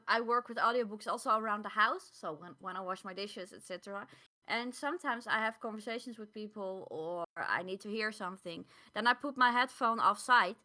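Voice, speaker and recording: A woman's voice: fairly high pitch, bright, forceful tone. A woman co-hosting a podcast in casual conversation, speaking English as a second language with non-native phrasing. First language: not English. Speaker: podcast co-host. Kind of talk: casual discussion of audiobooks.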